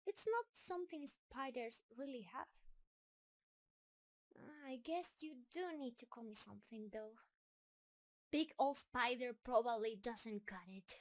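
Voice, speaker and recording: A woman acts out a gentle giant spider creature for an intimate roleplay audio. The voice is high and very unhurried, with a nervous, halting tenderness. It is doting and shy, talking softly to one beloved listener. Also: lightly acted. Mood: shy, sweet.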